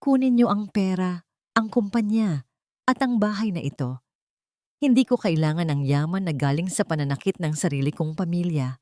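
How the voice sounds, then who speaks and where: A woman's voice: lowish voice, normal speed, dark tone, dry recording, lightly acted. A woman narrator plays a wealthy young father who stands his ground for his family in an audiobook drama. The delivery is earnest and emphatic, devoted and firm, in a very dry recording. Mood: defiant.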